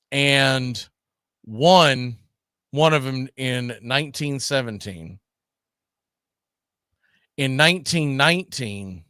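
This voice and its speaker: A male talk show host giving sports history commentary in a medium-pitched voice. He tells it like a story, leaning on key points with emphasis. The sound is dry, with very little room on it. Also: fairly bright tone, no echo.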